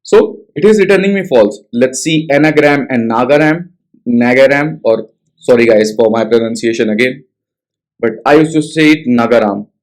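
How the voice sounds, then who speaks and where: A man's voice: medium pitch, moderate pace, poor audio. Indian-accented man tutoring code. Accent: Indian English. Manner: conversational, checks in with frequent tag questions. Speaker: coding tutor. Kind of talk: screen-share coding tutorial.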